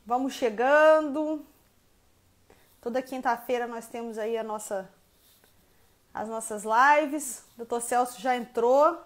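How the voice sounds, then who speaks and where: A woman's voice: a little high, takes it slowly, long pauses. A woman from Rio de Janeiro state with a Minas Gerais accent, a professor hosting a live video-call interview, chatty and affectionate. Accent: Minas Gerais Portuguese. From Rio de Janeiro state, Brazil. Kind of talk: warm casual live-stream interview.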